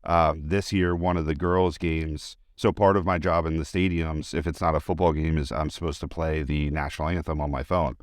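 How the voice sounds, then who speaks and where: A man with an extremely deep, clean, resonant voice talks as a guest in a relaxed interview. He is informal and chatty, sharing personal anecdotes with enthusiasm. The sound is dry, with hardly any room to it. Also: moderate pace, few pauses, no room sound. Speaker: interview guest, high school teacher and sports announcer. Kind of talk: casual conversational interview, anecdotes.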